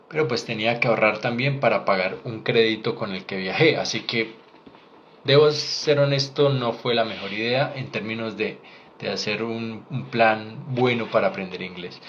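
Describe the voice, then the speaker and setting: A Colombian man in his thirties talks straight to his viewers in a frank, earnest way, pressing his points with conviction. His voice sits at an ordinary middle pitch. The recording sounds a little dull, with a faint hiss underneath. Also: moderate pace, fairly bright tone. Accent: Colombian Spanish. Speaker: doctor sharing career advice. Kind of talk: direct-to-camera advice vlog.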